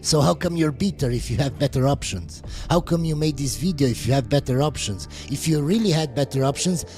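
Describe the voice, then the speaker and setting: A man's voice: fairly high pitch, expressive, fairly quick, a little mellow, faint music behind. A man streaming and reacting to videos, giving blunt, scornful commentary in a casual way.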